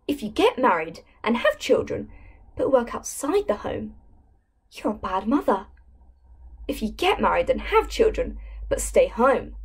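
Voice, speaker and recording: A young woman reads a speech aloud in an earnest, emphatic style. Her voice is fairly high and moves around in pitch with plenty of animation. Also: a little mellow. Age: young adult. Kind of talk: dramatic speech reading. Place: plain indoor room.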